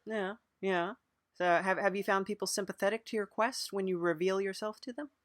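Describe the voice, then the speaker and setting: A woman's voice: mid-range voice, fairly even intonation, fairly quick, dry recording, clean studio sound. A woman hosting an improvised mock interview, agreeable and a little hesitant, playing it straight in casual conversation. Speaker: podcast interviewer.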